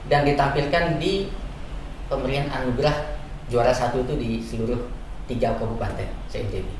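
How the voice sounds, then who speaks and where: A man's voice: medium pitch, fairly quick. A man giving conversational, step-by-step explanations as a guest in a radio interview. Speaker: school principal, interview guest. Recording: very echoey, distant mic, noisy room.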